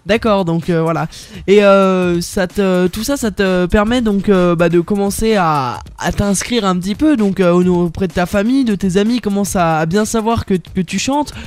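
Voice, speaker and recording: A man's voice: high voice, normal speed. A young man hosting a radio interview, talking casually with many fillers and restarts. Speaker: radio host.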